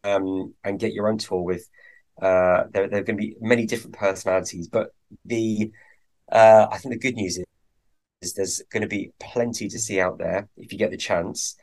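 A man's voice: lowish voice, steady tone, moderate pace, darkish tone, low-quality recording. A man co-hosting a podcast, chatting casually with a hesitant, rambling manner and many fillers. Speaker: podcast co-host.

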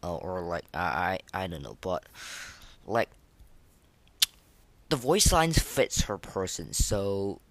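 Low-pitched man, halting casual podcaster. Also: big pitch swings, no echo. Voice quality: voice that cracks now and then. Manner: halting, repetitive, informal delivery. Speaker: podcast host. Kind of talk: casual unscripted gaming podcast.

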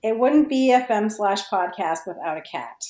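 A woman's voice: medium pitch, lively intonation, normal speed, warm tone. A woman guest writer talking casually on a podcast, recorded with a roomy echo. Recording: roomy, echoing sound.

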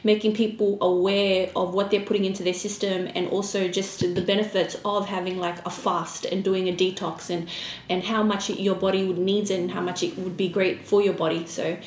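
Female interview guest, mid-pitched, casual, roomy echo with faint noise. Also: flat delivery, moderate pace. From Fiji-born, raised in Australia. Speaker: podcast guest, small business owner. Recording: echoey room with background noise.

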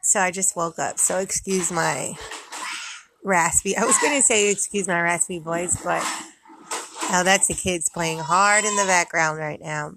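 A young woman speaks in a raspy, fairly low voice with a gravelly fry. She talks candidly and loosely, drifting with fillers. The recording is noisy, with children playing loudly behind her at home. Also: a little slow. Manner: rambling, candid, with fillers and laughs. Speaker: mother vlogging about postpartum. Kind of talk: casual personal talk.

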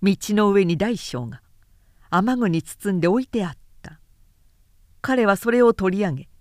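A woman narrator giving a measured, clearly articulated reading of literary fiction in audiobook style. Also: mid-range voice, animated, a little mellow, dry recording, clean studio sound.

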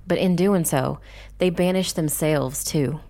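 A woman playing a young witch who narrates a dark fantasy audiobook in a grave, measured, dramatic way. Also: low-pitched. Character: young witch narrator recounting her family's ordeal, grave. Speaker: first-person narrator.

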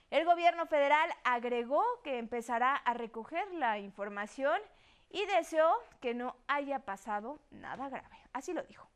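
A woman's voice: sits high, smooth. A woman with a Mexican accent presenting broadcast news as an anchor in a formal, courteous manner.

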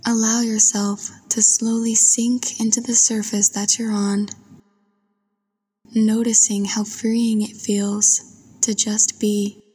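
A woman narrating a guided meditation in a soft, breathy voice, with a soothing, gentle and unhurried manner. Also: a little high, little pitch movement. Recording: long washy reverb.

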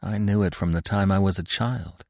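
A man voicing a grown stag, quiet and terse. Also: low-pitched, normal speed, no room sound, clean studio sound. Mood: subdued.

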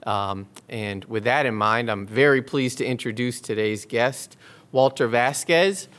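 A man co-hosting a casual podcast, introducing the guest and quick to agree, with plenty of filler sounds. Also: medium pitch, a bit bright. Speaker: podcast co-host.

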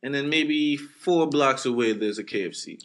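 Playful slangy young man, lively pitch. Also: normal speed. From Brooklyn. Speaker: podcast co-host. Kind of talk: casual chat.

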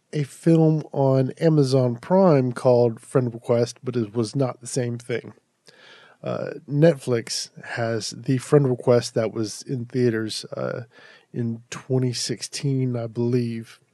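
A man's voice: medium pitch, mellow, no room sound, studio quality. A man hosting a casual horror movie review podcast, sounding a little nasal and stuffed up from allergies, chatty and rambling with restarts. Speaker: podcast host.

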